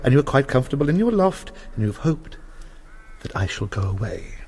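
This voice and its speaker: A medium-pitched male voice rings with long reverb, as in a large interior. He plays a cold, sardonic man in a radio drama, formal and clipped. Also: very animated, normal speed, darkish tone, husky. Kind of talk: scripted radio drama acting.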